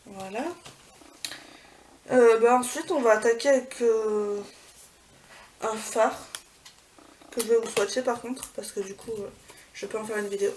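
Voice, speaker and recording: A chatty woman vlogger walks through her beauty haul, pausing on fillers and correcting herself as she goes. Her voice sits a little high, and she sounds set back from the mic. Steady background noise is clearly heard. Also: far from the mic, low-quality recording. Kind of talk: casual haul video.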